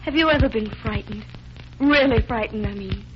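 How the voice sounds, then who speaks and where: A woman's voice: a little high, normal speed, natural voice. A woman playing a frightened, earnest young heiress, pleading in emotional 1940s radio drama style. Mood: shaken. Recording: muffled, noisy 1940s radio recording.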